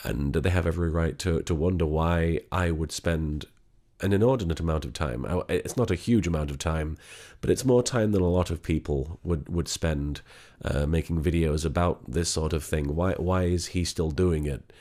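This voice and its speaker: A man talking quietly and haltingly in a candid vlog, sick, with a gravelly voice and many restarts. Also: a bass voice. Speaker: vlogger.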